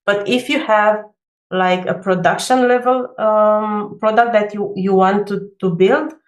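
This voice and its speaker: A woman's voice: mid-range voice, steady tone, unhurried. Woman, from Romania, engineer on a video call; hesitant, filler-heavy delivery in a roomy space. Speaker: backend engineer. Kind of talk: casual video call discussion.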